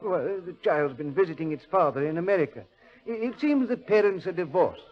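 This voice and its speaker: An actor playing a deferential, fretful railway station official who explains hesitantly, in 1940s radio drama style. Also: male voice, high-pitched, expressive, brisk, faint music behind. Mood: deferential, informative. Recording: dull old radio recording.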